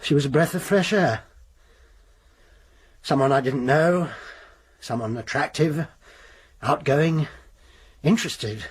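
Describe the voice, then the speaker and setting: A man's voice: on the high side, takes it slowly, long pauses, slightly rough. An actor playing a guilt-ridden married man who confesses in a hesitant, defensive way in a radio drama. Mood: guilty, defensive.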